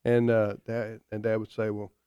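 A man in his fifties hosting a radio talk show, speaking in a folksy, opinionated way with many halting fillers. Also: lowish voice, completely flat delivery, warm tone, clean tone, no echo, studio quality. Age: 50s.

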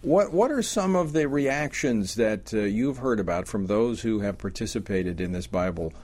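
A man's voice: lowish voice, animated, moderate pace. A man hosting a faith talk show, asking questions in an encouraging way and often repeating his first words. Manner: warm, encouraging interviewer with stammered restarts. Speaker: host. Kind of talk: conversational interview.

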